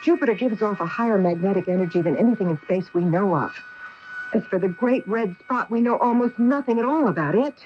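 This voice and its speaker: An actress playing a cautious, protective astronaut wife in a radio drama, with an earnest, dramatic delivery. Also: female voice, mid-range voice, brisk, natural voice. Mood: anxious, pleading. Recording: noisy, muffled AM radio broadcast recording.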